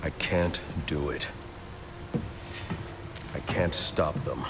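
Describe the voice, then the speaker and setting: An actor playing a grim, brooding masked vigilante in a video game, with a gravelly growl, burdened by guilt. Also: male voice, a bass voice, extremely slow, music in the background, full character voice. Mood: despairing. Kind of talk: video game cinematic acting.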